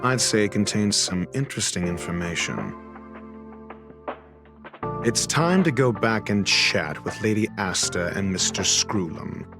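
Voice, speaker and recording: An actor playing a courteous, cryptic detective in a video game, speaking in a formal, measured way. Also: male voice, on the low side, sing-song. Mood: grave, measured. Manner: formal, measured, theatrical wording. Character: composed, eloquent male detective, courteous and cryptic. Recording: music behind the voice.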